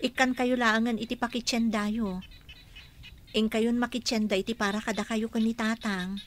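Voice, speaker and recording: An actress playing a dutiful, mild young wife with a Filipino accent, speaking respectfully in a radio drama. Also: female voice, fairly high pitch, little pitch movement, normal speed, lightly acted.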